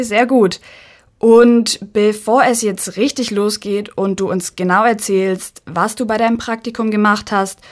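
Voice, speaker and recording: A woman hosting a student radio interview in a studio, reading a prepared introduction and then asking measured questions. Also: mid-range voice.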